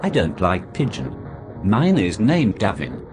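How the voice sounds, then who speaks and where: A man delivering an absurd scripted monologue in a deadpan manner. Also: sits low, unhurried, music in the background. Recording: noisy recording.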